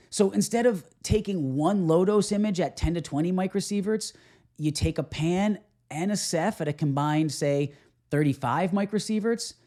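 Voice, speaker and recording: A man's voice: fairly high pitch, mellow. A man speaking as an orthodontist coach, giving an explanatory clinical lecture in an emphatic, informal, opinionated way.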